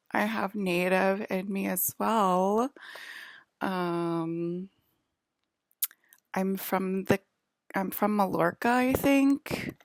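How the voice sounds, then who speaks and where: Gravelly, slow-talking woman from New Jersey. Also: fairly low pitch, no room sound. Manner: chatty, self-interrupting, with whispered asides. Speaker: vlogger. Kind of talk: rambling casual monologue.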